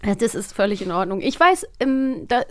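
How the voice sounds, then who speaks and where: A woman's voice: medium pitch, very animated, normal speed, slight background noise. A woman co-hosting a podcast, chatting casually with playful, teasing quiz banter. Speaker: podcast co-host.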